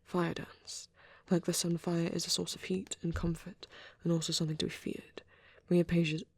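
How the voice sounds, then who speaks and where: A soft, husky half-whisper with a creaky edge, belonging to a shy vampire boy played by a man in an ASMR roleplay. He speaks gently and haltingly in a narrow, even pitch, as if rambling to a date. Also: dry recording. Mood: gently reciting. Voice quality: breathy, often slipping into whisper. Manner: hesitant, halting, gently spoken.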